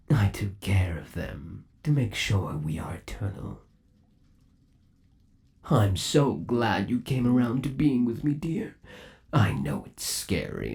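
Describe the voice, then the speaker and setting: A man's voice: low-pitched, gravelly, a little mellow, heavily acted. A man playing a possessive, lovesick undead animatronic rabbit boyfriend in an ASMR roleplay, cooing in a doting, theatrical and sinister way. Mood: menacing, coaxing, then reassuring, possessive.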